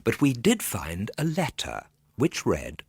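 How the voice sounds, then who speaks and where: A man's voice: mid-range voice, huge pitch swings, slow pace, vocal fry, breathy edge, dry recording. A man narrating a comic ghost story in the first person, theatrically, with precise old-fashioned diction. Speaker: storyteller, actor.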